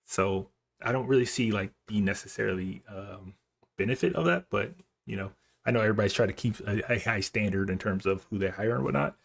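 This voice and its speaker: Low-voiced man on a casual livestream. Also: fairly quick, vocal fry, slightly rough. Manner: informal slangy talk, thinking aloud. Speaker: live coding streamer. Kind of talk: casual livestream chat, reading and answering viewer comments.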